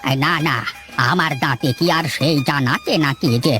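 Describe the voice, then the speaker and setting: An actor plays an aged village father in a cartoon story. The old figure is affectionate and excitable, exclaiming and repeating in homely colloquial talk. Loud music runs behind the voice. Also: mid-range voice, normal speed, lightly acted. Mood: grumbling, teasing. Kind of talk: Bengali cartoon story dialogue.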